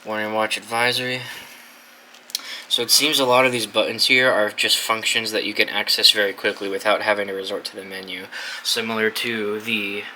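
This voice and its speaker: A man's voice: fairly low pitch, background noise. A young man giving a casual, wry and offhand unboxing commentary, swearing casually as he goes. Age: young adult. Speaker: hobbyist video host.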